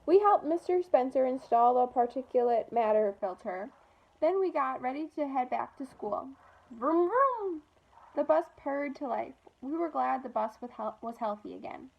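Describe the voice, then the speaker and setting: A woman with a high voice reads a children's picture book aloud. Her delivery is animated and expressive, with small asides about the pictures. The recording is slightly dull and lo-fi. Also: normal speed, vocal fry. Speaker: storytime reader for children.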